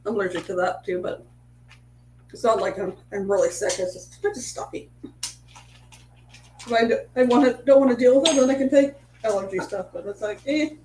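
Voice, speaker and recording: A woman's voice: a little high, a little fast, pauses often, darkish tone. A middle-aged woman host talks casually and at length on a home live stream, her voice stuffy and congested. Her talk rambles with restarts. The room rings with echo under a faint hum. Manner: rambling, chatty, with many restarts. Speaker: livestream cooking host. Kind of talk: casual chat on a live stream, greeting viewers.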